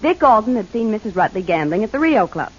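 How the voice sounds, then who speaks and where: A woman's voice: mid-range voice, very animated, fast talker, husky. An actress playing a matter-of-fact young woman explaining a crime in a 1940s radio drama. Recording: muffled 1940s radio recording.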